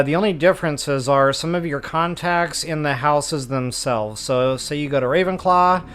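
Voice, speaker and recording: A man's voice: a little high, a bit bright. A man hosting a video game walkthrough, explaining things in a laid-back, conversational way. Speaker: gaming walkthrough host. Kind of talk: casual game commentary. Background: game music.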